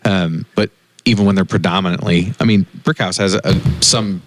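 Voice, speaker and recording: A man's voice: low voice, fairly even intonation, moderate pace, no echo. A man co-hosting a podcast, chatting casually. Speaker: podcast co-host. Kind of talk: casual chat about cigars.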